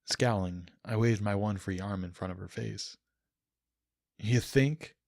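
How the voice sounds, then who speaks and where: A man's voice: on the low side, fairly even intonation, moderate pace, gravelly, darkish tone, no room sound, clean studio sound. A man narrating a scripted first-person story as an audiobook reader.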